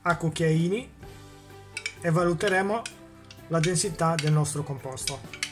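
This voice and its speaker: A man's voice: on the high side, a little slow, over music. Man explaining a home cooking recipe. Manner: explains each step, asks and answers his own questions. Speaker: cooking show host. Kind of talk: step-by-step cooking demonstration. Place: home kitchen, indoors.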